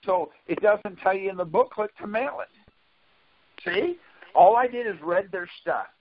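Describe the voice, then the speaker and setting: A man's voice: sits high, lively intonation, moderate pace. A man on a group conference call, explaining argumentatively, insistent, often interrupting and repeating himself. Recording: dull lo-fi conference call audio.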